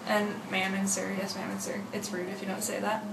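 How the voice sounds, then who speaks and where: A young woman from Arizona reading word lists slowly and flatly for a dialect study. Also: medium pitch, creaky, very noisy. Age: young adult. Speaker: study participant. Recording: echoey room, distant mic.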